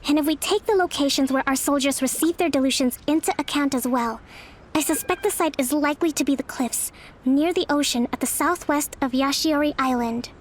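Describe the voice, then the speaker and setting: An actress playing a young, thoughtful female military leader in a video game, soft and measured in delivery. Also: sits high, natural voice. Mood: thoughtful, analytical. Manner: measured, formal, deliberate. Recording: music behind the voice.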